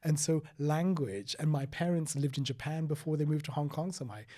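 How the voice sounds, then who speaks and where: A middle-aged man from Sri Lanka, talking reflectively as an interview guest, with frequent restarts and soft trailing phrases. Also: on the high side, brisk. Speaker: guest, former paediatrician. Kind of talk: conversational interview.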